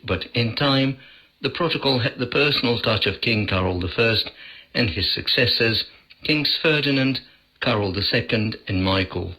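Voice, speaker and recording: A man presenting a scripted radio feature in a formal, measured way, heard on a shortwave broadcast. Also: mid-range voice, sharp tone, low-quality recording. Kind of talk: scripted radio feature narration with translated voice-over.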